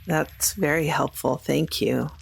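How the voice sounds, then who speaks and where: A woman's voice: low voice, fairly even intonation, slow, gravelly, slightly rough. A woman playing a tabletop roleplaying game, improvising her talk in character.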